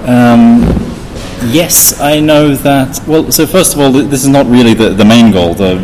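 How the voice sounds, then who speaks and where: A man being interviewed as a software developer, explaining technical things informally, step by step with frequent restarts. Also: mid-range voice, a little slow. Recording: clearly noisy background.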